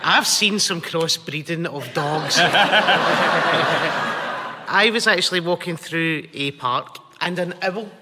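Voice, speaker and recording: A woman's voice: fairly low pitch, very noisy. A woman, a stand-up comedian telling dry stories on stage. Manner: slow, dry storytelling. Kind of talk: stand-up routine. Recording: live hall with loud crowd.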